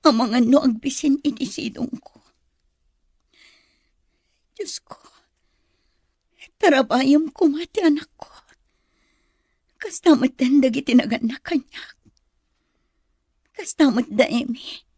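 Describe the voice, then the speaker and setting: A sorrowful mother in an Ilocano radio drama monologue. The high voice moves slowly, breathy and prayerful, full of longing, with an Ilocano accent. The sound is very dry. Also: a little mellow, dry recording, heavily acted. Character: sorrowful, longing mother who gave up her child.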